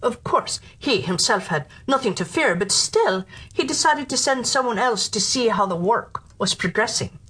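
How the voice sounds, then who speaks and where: A woman narrating children's stories in an expressive, deliberate and playful theatrical style. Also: on the high side, husky. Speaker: storyteller and audiobook narrator.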